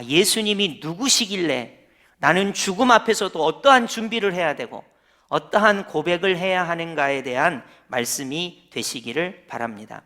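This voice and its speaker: A man's voice: fairly high pitch, bright, forceful tone. A man preaching a Sunday sermon as senior pastor, in a conversational way that keeps asking the congregation questions.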